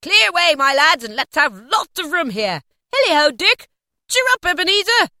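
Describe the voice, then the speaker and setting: A woman's voice: animated, slow, pushed and bright, dry recording, studio quality, full character voice. A woman voicing a jovial, fat old master merchant, calling out heartily in a rich voice. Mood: exuberant. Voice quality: oily, rich, booming.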